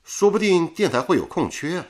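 An actor playing a chatty, blunt and loyal radio engineer in a radio drama, exclamatory and teasing. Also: male voice, a little high, big pitch swings, brisk, a little mellow.

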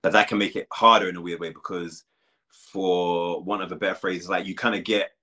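A man's voice: deep voice, steady tone, brisk, pushed and bright. A man in his thirties talking casually as an interview guest, full of filler words. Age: 30s. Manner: chatty, lots of "like" fillers.

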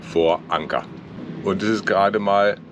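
A man's voice: deep voice, steady tone, measured pace. A middle-aged man casually narrating a travel vlog into a handheld camera outdoors. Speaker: sailing vlogger. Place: outdoors, boat deck and shore. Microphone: handheld camera at arm's length. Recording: noisy outdoor camera audio.

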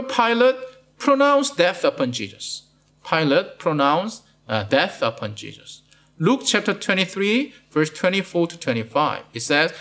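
A man's voice: sits high, fairly bright tone. A middle-aged man with a Malaysian accent preaching a sermon in a studio, asking "right?" after nearly every point and repeating his words. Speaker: pastor. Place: studio with plain blue backdrop.

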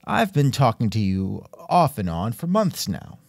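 Male podcast host, wry and jokey, animated intonation, mid-range voice, scripted comic interview. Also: mid-range voice, a little slow, vocal fry, husky, no echo. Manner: wry, joking.

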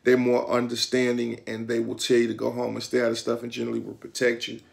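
A middle-aged man with a Detroit accent talks straight into a camera in a small room at home. His mid-pitched voice carries a heavy gravelly rasp. He explains the rules in a streetwise, instructive way, gesturing often with his hands. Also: monotone, fast. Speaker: vlogger. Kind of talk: casual talk to camera.

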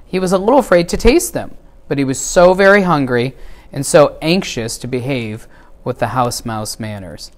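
A middle-aged man reads a children's story aloud in a measured, expressive way. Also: mid-range voice. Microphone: lapel mic.

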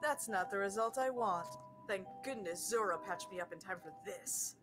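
A woman's voice: medium pitch, normal speed, natural voice. A woman voicing a menacing, theatrical sea creature villain in an amateur comic dub, coldly monologuing. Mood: cold menace. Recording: loud music under the voice.

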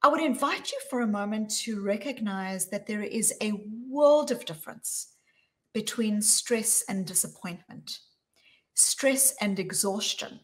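A woman in her forties with a South African accent speaks as a guest over a video call from a small room. Her delivery is measured and emphatic, and she slows down to lean on key words. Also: medium pitch, animated. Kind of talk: talk on workplace wellbeing. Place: small room with plain wall.

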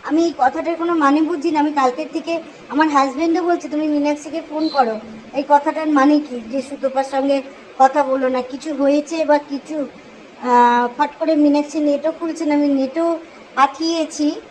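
A woman speaking informally in a voice message, explaining herself earnestly and haltingly. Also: sits high, fairly even intonation, darkish tone. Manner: earnest, self-justifying, halting. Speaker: caller leaving a voice message. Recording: noisy amateur voice message recording.

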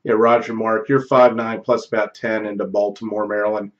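Low, dull-sounding American ham radio man. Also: little pitch movement, a little fast. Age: middle-aged. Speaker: amateur radio operator. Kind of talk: casual ham radio chat with call signs and phonetic spelling. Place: home office radio room. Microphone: close studio microphone on boom arm.

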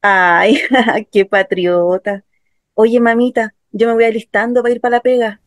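A woman's voice: on the high side, flat delivery, measured pace, close to an everyday voice. A woman playing a young working-class Chilean daughter in a dramatic first-person radio play. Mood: light, chatty. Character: young working-class daughter recounting her assault, wounded, bitter. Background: music.

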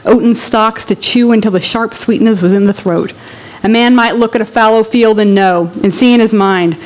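A woman reading literature aloud with deliberate, expressive, dramatic phrasing. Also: mid-range voice, rough recording. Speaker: literary reader at a public event.

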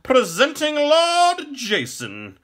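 Man doing a proud herald, pitched way up. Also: huge pitch swings, drawn-out words, fairly bright tone, full character voice. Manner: proud, proclaiming. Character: proud, mustached male herald in a shabby court.

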